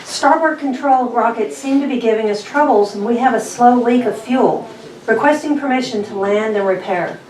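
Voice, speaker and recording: A woman's voice: a little high, normal speed, darkish tone, close to an everyday voice. A woman playing a dutiful spaceship navigator at a sci-fi table read. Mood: formal, apologetic. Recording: echoey room, distant mic, faint noise.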